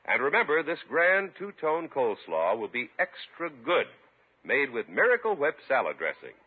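Male announcer, 1950s radio ad read, persuasive, lively intonation, husky edge, tinny narrow-band sound. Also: medium pitch, moderate pace. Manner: polished, persuasive salesman delivery. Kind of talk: 1950s radio commercial reading.

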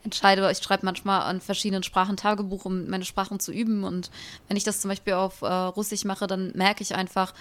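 Young female co-host with an even, level tone, musing casually and pausing to find her words. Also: mid-range voice. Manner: reflective, searching for words. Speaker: podcast co-host. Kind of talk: casual conversation.

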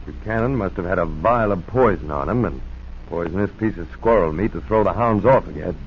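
A man's voice: low-pitched, brisk. An actor in a 1940s radio drama playing an urgent, authoritative lawman who leads a hound posse and barks orders. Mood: grave. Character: commanding, level-headed lawman leading a hound posse. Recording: noisy, muffled 1940s radio recording.

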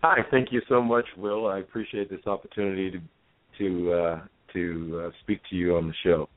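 Deep-voiced man on a dull phone line. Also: fairly even intonation, moderate pace. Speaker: caller, university professor. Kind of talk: earnest live call-in discussion. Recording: dull narrow-band phone call.

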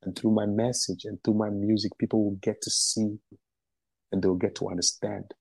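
A man's voice: fairly low pitch, flat delivery, moderate pace, creaky, a little mellow, husky, dry recording. A man from Ghana, a musician and activist, answering interview questions in a passionate, emphatic way and repeating phrases for stress.

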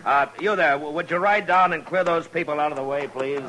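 A man in a 1950s radio western playing a firm, plain-spoken frontier marshal with quiet authority. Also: a little high, a little fast, natural voice. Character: firm, weary frontier marshal keeping the peace. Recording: old radio drama, crowd behind.

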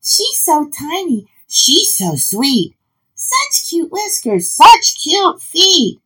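Woman acting high-pitched excitable schoolkid in a storybook. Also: extremely slow, heavily acted, poor audio. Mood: cooing delight. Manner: gushing, exclamatory. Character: excitable schoolchildren, cooing and yelling.

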